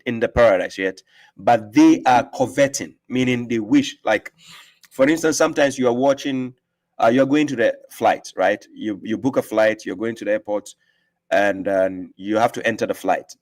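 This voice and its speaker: A man hosting a live stream, reading verses aloud slowly and explaining them. He often adds a questioning "right?". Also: medium pitch. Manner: reads scripture aloud with slow emphasis and explains it; asks rhetorical "right?" often. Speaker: host and religious teacher.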